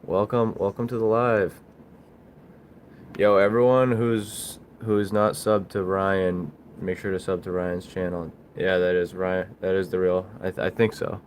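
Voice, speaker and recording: Low-voiced man chatting casually on a livestream. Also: flat delivery, slight background noise. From Bulgaria. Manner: halting, rambling, informal. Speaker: livestream host, small business owner. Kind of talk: casual livestream chat answering viewers.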